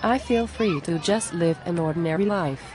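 A woman's voice: lowish voice, normal speed, background noise, close to an everyday voice. A woman playing an exasperated, plain-spoken friend who pleads earnestly and reproachfully in scripted cartoon dialogue.

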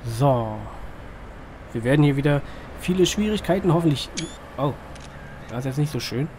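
Slightly hoarse man, chatty game commentary. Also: a little high, expressive, normal speed, mellow. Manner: colloquial, rambling, asks himself questions. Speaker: gaming video host. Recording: clear vehicle engine noise behind voice. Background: truck simulator engine sound.

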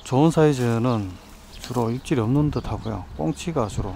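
A man hosting a fishing vlog, calmly explaining his lure choices and tactics outdoors by a rural pond. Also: mid-range voice, normal speed, gravelly. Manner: calm, explanatory, practical tips. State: hot from the weather. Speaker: fishing channel host. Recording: outdoor noise, nature sounds. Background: birds and countryside ambience.

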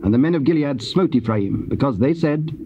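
A man narrating scripture from a script in a solemn, measured and formal way. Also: medium pitch, lively intonation, warm tone. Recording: muffled old cassette, faint hiss.

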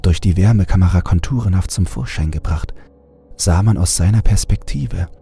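Male creepypasta narrator: extremely low, dark voice, suspenseful scripted read over music. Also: creaky. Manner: measured, suspenseful. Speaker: horror story narrator.

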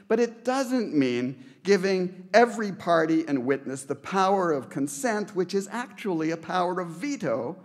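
A middle-aged man from Canada giving an earnest acceptance speech at a podium in a large banquet hall, stressing his points with repeated phrases. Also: fairly high pitch. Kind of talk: prepared speech read at a podium. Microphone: podium microphones.

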